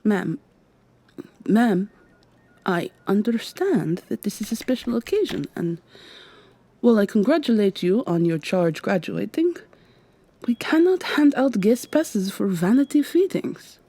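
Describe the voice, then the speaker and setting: A woman's voice: medium pitch, sing-song, measured pace, husky, no echo, exaggerated voice. A woman playing a weary, sardonic vampire receptionist with a secret, acting in a scripted ASMR roleplay. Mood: politely firm. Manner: polite customer-service patter, hushed urgent asides.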